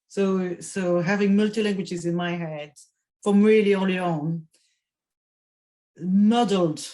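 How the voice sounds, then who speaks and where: A woman with a French accent, speaking in a reflective group discussion, halting and restarting often. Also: mid-range voice, a little mellow. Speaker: panel participant.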